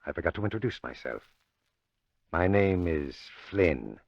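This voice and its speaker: An actor playing a smug, sneering crook in an old radio mystery, mock-polite and gloating, on a dull vintage recording. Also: male voice, low voice, sing-song, a little slow, creaky, no echo, full character voice. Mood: smug mock politeness. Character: Flynn, smug, sneering crooked male villain.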